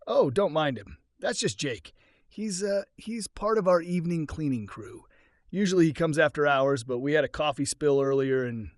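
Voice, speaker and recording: A male startup boss in a dramatic story talks like a rehearsed salesman, nervous and keen to placate, as a man narrating puts him on. His voice is slightly high. The recording is dry. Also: expressive, normal speed, no echo, natural voice. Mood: flustered, placating. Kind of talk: dramatic audiobook-style story acting.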